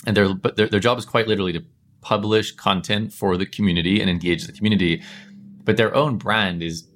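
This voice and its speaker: A man's voice: lowish voice, fairly even intonation, a little fast. A Northern Irish man hosting a podcast, rambling casually with many fillers and restarts. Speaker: podcast host.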